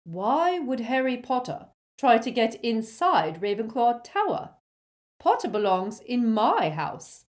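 A woman voicing a stern older female professor who speaks in a crisp, measured, icily proper manner. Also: on the high side, big pitch swings, darkish tone, pitched above the performer's own voice. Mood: sharp.

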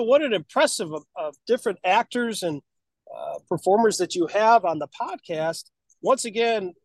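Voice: mid-range voice, no room sound. An interview co-host asking questions in a conversational style.

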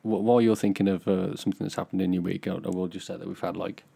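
A man's voice: low voice, steady tone, fast, creaky, warm tone, slightly rough. A young British man in casual chat as a podcast co-host, easygoing with wry, dry understatement.

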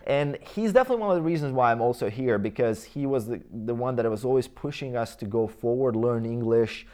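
A man in his thirties speaking English with a Croatian accent, telling his life story to an interviewer. His voice sits at an ordinary, middling pitch. He rambles thoughtfully, often restarting sentences and filling pauses with "uh" and "right?". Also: expressive, a little mellow. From Croatia/Bosnia region. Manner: rambling, many fillers and restarts, reflective. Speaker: interview guest.

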